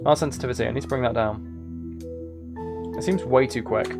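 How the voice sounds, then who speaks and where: A man's voice: medium pitch, a little fast. Man chatting on a game stream over guitar music. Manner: chatty, talks to viewers and thinks aloud. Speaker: live streamer playing video games. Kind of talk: casual live gaming stream chat.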